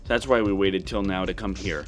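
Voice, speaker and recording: A man's voice: low-pitched, moderate pace, faint music behind. A man giving casual step-by-step commentary on a video game playthrough. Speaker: gaming video host.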